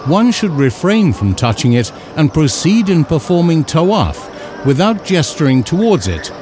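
A man reading scripted instructional narration in a measured, formal, carefully enunciated way. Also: a little high, sing-song, a little mellow, soft background music. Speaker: narrator of an educational film.

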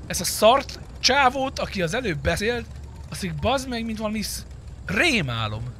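A man's voice: high voice, sing-song, sharp tone, slight background noise. A man chatting casually and crudely, with lots of swearing, while streaming a roleplay video game.

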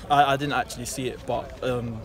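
A man's voice: mid-range voice, level tone, a crowd in the background. A young man with an English accent, a football player answering post-match questions casually outdoors at night. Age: young adult. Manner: casual, quick, lots of fillers.

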